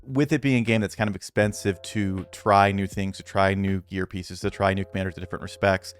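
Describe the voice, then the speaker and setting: A man with a deep, low voice talks straight to his viewers in a loose, unscripted way. He is earnest and rambling, restarts his sentences, and leans on plenty of ums and uhs. Soft music plays faintly behind him. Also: fairly even intonation, fairly quick, smooth. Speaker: mobile strategy game content creator. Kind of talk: casual talk to viewers.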